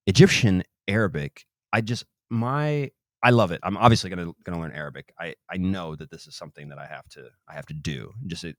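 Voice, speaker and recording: Podcast host, male, very low and deep, loose rambling delivery, dry close mic. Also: no echo. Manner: rambling, many restarts and trailing-off phrases. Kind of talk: casual interview chat.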